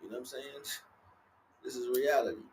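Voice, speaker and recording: A man's voice: medium pitch, fairly quick, a little mellow. An American man giving an informal spiritual monologue as a livestream host, speaking emphatically and repetitively in street slang. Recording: clearly noisy, ambient background noise.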